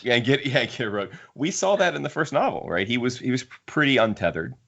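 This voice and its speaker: A man hosting a casual book discussion among friends, talking haltingly and repeating words as he works through his points. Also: medium pitch, animated, gravelly, fairly bright tone, highs cut off. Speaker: podcast host.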